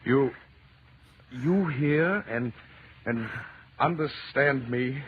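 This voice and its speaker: An actor playing an awestruck, insistent man facing an alien horror in a 1930s radio drama, urgent and halting. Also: mid-range voice. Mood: tense questioning. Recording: dull, noisy 1930s radio recording.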